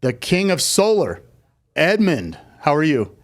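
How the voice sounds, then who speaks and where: A man hosting an interview show in casual chat, informal and quick to exclaim. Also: on the high side, huge pitch swings. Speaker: podcast host.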